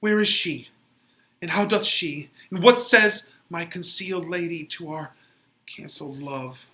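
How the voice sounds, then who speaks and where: A lovesick young man in despair as a male reader acts it out, melodramatic and tearful. The lines are drawn out slowly in a very bright, forceful voice, as dramatic Shakespearean verse. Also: a little high. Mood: desperate. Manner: melodramatic, anguished declaiming.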